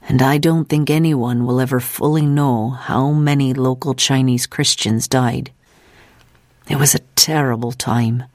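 A steadfast, composed missionary woman, as an audiobook narrator reads her, recounts hardship gravely and deliberately. Her voice is mid-pitched, recorded close and dry. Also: dry recording, natural voice. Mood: grave, somber.

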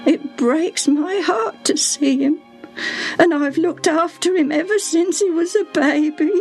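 A woman's voice: sits high, slow, a little mellow, faint music behind, pitched above the performer's own voice. A woman voicing a devoted, tearful older nursemaid in a storybook reading, talking in a fretful rustic way. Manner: sobbing, breathless, rustic turns of phrase.

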